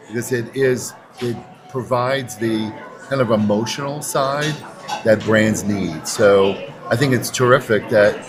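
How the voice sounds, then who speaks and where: A man in his sixties speaking earnestly and conversationally as a guest in a podcast interview. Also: fairly low pitch. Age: 60s. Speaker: interview guest, advertising agency chief executive. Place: podcast booth at a busy conference. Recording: crowd chatter around the voice. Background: crowd chatter.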